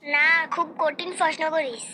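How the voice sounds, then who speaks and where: Woman as snobbish young sister, cartoon, very high voice, Noakhali Bengali accent, nosy questioning manner, noisy background. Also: normal speed, pushed and bright. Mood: bossy. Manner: interrogating, haughty. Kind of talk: comic cartoon voice acting.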